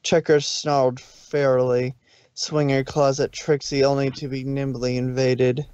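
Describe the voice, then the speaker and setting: A man reading the narration of a fanfic aloud in a steady storytelling manner. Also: mid-range voice, measured pace, clear, resonant tone, dry recording. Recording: dull, lo-fi stream audio.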